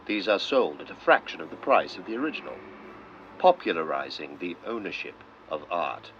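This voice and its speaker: A man narrating a script in an old-style documentary manner, with measured, solemn, deliberate phrasing. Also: sits low, sing-song, fairly bright tone. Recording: dull lo-fi sound with clear noise.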